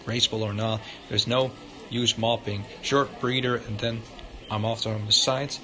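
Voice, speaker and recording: A man's voice: fairly low pitch, little pitch movement, normal speed. A male livestreamer reading visual novel narration aloud in an informal way, sometimes stumbling over the words. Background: loud guitar music.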